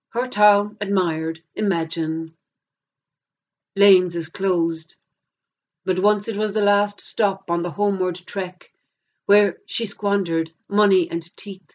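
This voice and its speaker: A middle-aged woman with an Irish accent, a poet reading verse aloud in a measured, deliberate way. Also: on the low side. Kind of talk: poetry reading. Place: plain indoor room against a bare wall. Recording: dull lo-fi camera sound.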